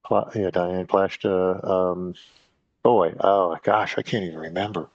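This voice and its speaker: A man hosting a podcast in a casual, rambling monologue, halting often with fillers and restarts. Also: sits low, steady tone, no room sound. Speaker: podcast host. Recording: dry, dull lo-fi sound.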